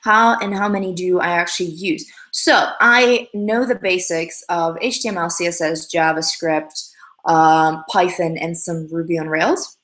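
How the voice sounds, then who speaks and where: A woman's voice: on the low side, steady tone, a little slow, fairly bright tone, roomy sound. A young woman whose first language is Russian, hosting a casual livestream and chatting upbeat through viewers' questions with many fillers and restarts. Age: young adult. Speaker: livestream host. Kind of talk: casual livestream chat answering comments.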